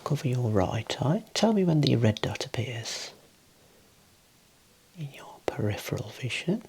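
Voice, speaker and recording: A man in his thirties whispering softly and breathily in a calm, gentle ASMR roleplay as an examiner giving instructions, close to the mic in a small room. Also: mid-range voice, measured pace, gravelly, slightly rough, poor audio. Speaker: ASMR host playing an eye examiner.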